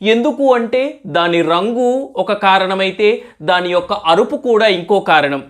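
A woman narrates a simple moral story for a child. She has a teacherly manner, pausing to ask questions and repeating key words. Her pitch sits in the middle of the range. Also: lively intonation. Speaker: storyteller and channel host.

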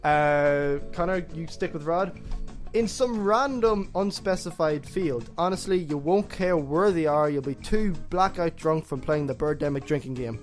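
A man's voice: on the high side, a little slow, soft background music. A man hosting a comedy podcast, chatting casually and narrating scenes in a mocking, jokey manner. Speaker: podcast host.

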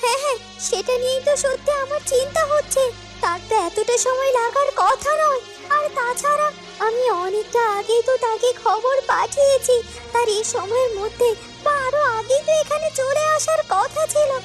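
A woman's voice: very high voice, normal speed. A woman voicing an anxious, commanding serpent queen in a fairy-tale cartoon, speaking urgently and with emphasis. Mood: worried, urgent. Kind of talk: dramatic cartoon voice acting. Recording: music under the voice.

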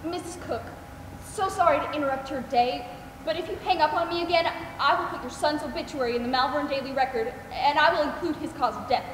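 A woman's voice: high voice, a little fast, natural voice. A woman acting in a stage play as a compassionate, determined mother. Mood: threatening. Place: on a theater stage, distant camera. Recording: echoey theater hall, noisy room.

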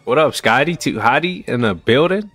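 Laid-back young man gaming, lively pitch. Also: moderate pace, no echo, faint music behind. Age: 20s. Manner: laid-back, profane, slangy. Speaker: streamer. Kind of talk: casual gaming chat with friends. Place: indoor room, seated at a desk.

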